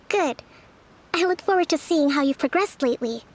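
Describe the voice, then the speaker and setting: A woman's voice: sits high, expressive, unhurried. A woman voicing a young female island leader in a video game, composed and formal, over game music. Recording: game audio with music behind.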